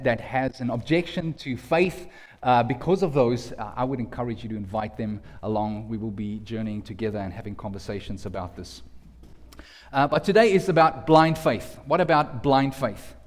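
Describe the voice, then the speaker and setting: Man preaching in a reverberant hall. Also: medium pitch. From South Africa. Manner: earnest, many restarts and repeated words. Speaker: pastor. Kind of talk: sermon.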